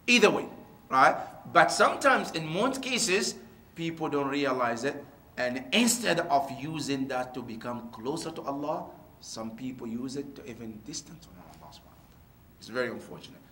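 A middle-aged man, a cleric answering questions in a mosque, explaining with animated gestures and reciting Arabic verses quickly. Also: medium pitch. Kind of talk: religious Q&A teaching. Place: mosque prayer hall, seated on floor. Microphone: floor mic stand beside him. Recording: echoey prayer hall.

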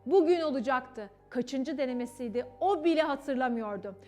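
A woman's voice: high voice, normal speed, dark tone. A young woman narrating a children's story in a theatrical, emphatic way, speaking directly to her young listeners. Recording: music behind the voice. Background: background music.

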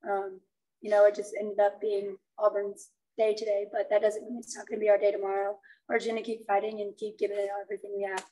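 A young woman college softball pitcher answering press questions, halting with many ums. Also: completely flat delivery, recorded from a distance, poor audio. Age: young adult. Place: press room, seated at a table.

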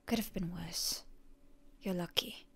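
A proud young heroine, acted by a woman, defiant toward her enemy in an intimate roleplay ASMR. Her voice is breathy and husky, often dropping toward a whisper. She takes her time over her words, full of stubborn, indignant bluster. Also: on the low side, fairly even intonation, bright, forceful tone, exaggerated voice. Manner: indignant, halting, tsundere bluster.

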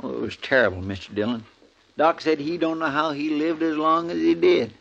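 Excitable, good-hearted sidekick, rural Western American drawl, gravelly; old radio drama, dull recording. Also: male voice, fairly high pitch, a little fast. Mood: upset. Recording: muffled, noisy vintage radio recording.

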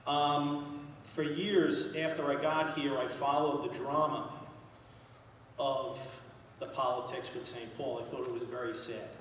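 A man's voice: medium pitch, little pitch movement, measured pace, a little hiss or hum. Brief: New man, 50s, blunt engineer at a podium, recorded from far back in a big hall. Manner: loud, direct, plain-spoken. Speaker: engineer speaking at a town hall meeting. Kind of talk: public presentation at a podium. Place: large school auditorium. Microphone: podium microphone. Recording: echoey hall, heard from far off.

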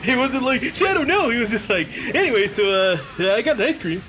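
A man's voice: very high-pitched, big pitch swings, a little fast. A man giving casual video game commentary as a let's player, joking sarcastically and acting out mock dialogue. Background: video game music.

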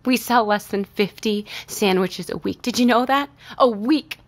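A woman's voice: on the high side, normal speed, natural voice. A woman playing a stressed, bitter store manager in an audio drama, upset and close to tears. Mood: distraught, angry. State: upset, tearful. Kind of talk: naturalistic audio drama acting.

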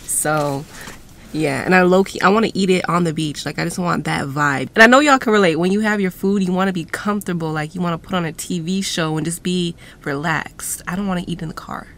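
A woman's voice: fairly low pitch, a little fast, a little hiss or hum. A young woman in her twenties chatting casually to a phone camera from inside a parked car, laid-back and swearing now and then. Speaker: vlogger. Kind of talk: casual vlog talk to camera. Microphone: phone camera at arm's length.

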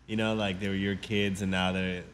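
A man's voice: sits low, level tone, normal speed, very noisy. A man in his early thirties from Afghanistan hosting a casual radio interview, enthusiastic, swearing casually and repeating himself. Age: 30s. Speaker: radio host.

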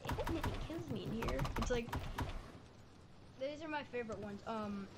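A young teenage boy giving casual, rambling, slangy and excitable commentary while he plays a game. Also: very high-pitched, brisk, heavy background noise. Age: young teen. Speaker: gaming video maker. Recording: loud game music behind voice.

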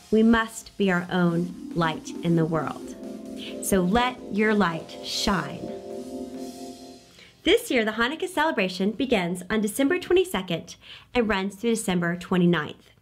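A middle-aged woman hosting a children's read-aloud, speaking expressively and emphatically with dramatic pauses. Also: mid-range voice, lively intonation, soft background music. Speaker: children's storytime host.

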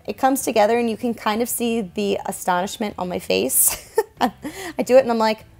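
A woman's voice: a little high, moderate pace, creaky, darkish tone. A young woman talks loosely and chattily as she narrates her own stage makeup and hair routine. Her delivery is casual and self-deprecating, broken by frequent small laughs. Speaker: stage performer and makeup vlogger. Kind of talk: casual tutorial voice-over.

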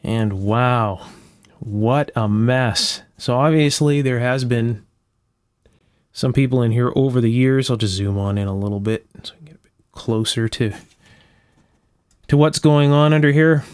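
Male repair-video host, mid-pitched, careful step-by-step explainer, casual delivery, very dry sound. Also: unhurried, long pauses, darkish tone, smooth, dry recording. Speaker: electronics restorer and video host. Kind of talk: casual hands-on repair narration. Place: indoor electronics workshop at a bench.

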